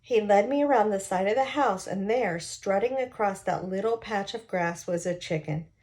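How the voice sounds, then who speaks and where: Woman reading a novel aloud, mid-pitched. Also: normal speed, a little mellow. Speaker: read-aloud narrator, explaining to listeners. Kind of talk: expressive novel read-aloud with asides.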